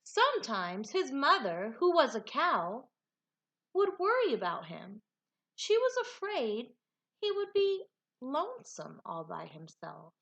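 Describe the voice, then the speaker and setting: A woman teacher speaking to young pupils and reading aloud to them in an animated, expressive way. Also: high voice, slow, gravelly. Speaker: elementary teacher. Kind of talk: read-aloud lesson for children.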